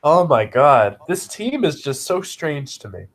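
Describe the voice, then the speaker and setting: A man's voice: fairly high pitch, sing-song, unhurried, slight background noise. A man co-hosting a casual sports podcast while he has a cold and sniffles. He recites game stats bluntly and swears often. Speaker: podcast co-host.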